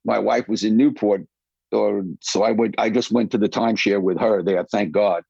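Husky low-voiced New man. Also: steady tone, normal speed, mellow, no room sound. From New York City. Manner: rambling, repeats words. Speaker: film producer guest. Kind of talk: casual conversation. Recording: dull, lo-fi, dry sound.

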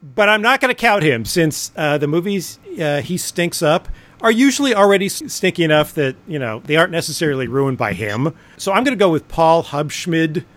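A man's voice: a little high, lively intonation, fairly quick, few pauses, a bit bright. A man co-hosting a podcast, giving opinions in a wry, dryly humorous casual chat. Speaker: podcast co-host.

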